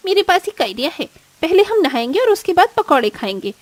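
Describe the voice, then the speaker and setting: Woman playing a high, snobbish young rich wife. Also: big pitch swings, a little fast, dry recording. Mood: excited. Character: young rich daughter-in-law, snobbish, pampered, fun-loving. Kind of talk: Hindi moral-story cartoon voice acting.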